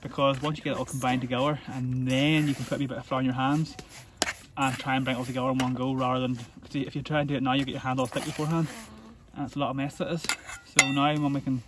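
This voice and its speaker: A man's voice: mid-range voice, little pitch movement, fast, noisy background. A Northern Irish man hosting a casual outdoor cooking demo, talking through each step in a chatty way. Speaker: host of a wild camping channel. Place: outdoors at a camp.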